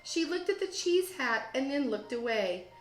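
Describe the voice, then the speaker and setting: A woman with a slightly high voice reads a children's story aloud like a teacher. Her phrasing is expressive and deliberate. The room is clearly echoey, with music playing behind her. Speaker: teacher, guest read-aloud host. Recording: roomy echo, music behind. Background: storybook app music.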